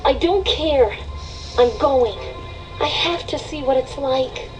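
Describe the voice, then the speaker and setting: A woman's voice: high voice, slow pace, low-quality recording. A woman playing a curious, headstrong teenage mermaid girl in a children's audio story, earnest and full of wonder. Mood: determined. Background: prominent music.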